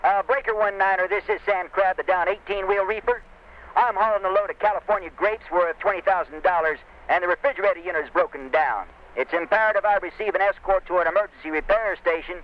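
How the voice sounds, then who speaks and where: Man acting high-voiced folksy trucker on CB. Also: quick pace. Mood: urgent. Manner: folksy, courteous CB slang. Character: stranded male long-haul trucker, polite and folksy. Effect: CB radio filter. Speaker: truck driver on CB radio. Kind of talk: acted CB radio dialogue. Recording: muffled CB radio transmission.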